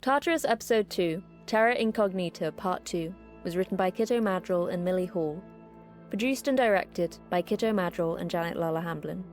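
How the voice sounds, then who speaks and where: A woman delivers scripted podcast credits as an announcer. Her voice sits a little low for a woman, with faint music underneath. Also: darkish tone.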